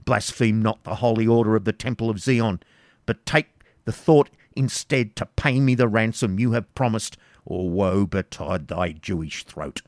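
A man's voice: mid-range voice, expressive, normal speed, darkish tone, dry recording, clean studio sound, pitched above the performer's own voice, lightly acted. A man voicing a stern, cruel medieval baron who speaks in harsh commands. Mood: menacing.

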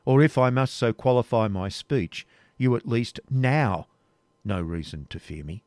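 A man's voice: mid-range voice, a little mellow, no room sound, lightly acted. Man reading as a grave medieval knight. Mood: tense. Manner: grave, measured. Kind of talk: audiobook reading, period novel.

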